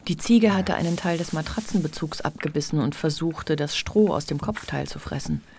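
Male narrator, gravelly creak, literary radio play narration, clearly noisy track with clicks. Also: on the high side, normal speed, a little mellow.

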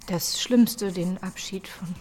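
An actress playing a condemned young mother in a German radio drama, tender and bravely composed, with a halting, breathy, often whispering delivery. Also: mid-range voice, a little mellow. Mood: tearful farewell, tender.

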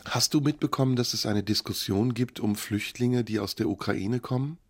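A man interviewing a guest for a radio show, asking calm, direct questions and offering warm praise. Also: medium pitch, moderate pace, no room sound, clean studio sound.

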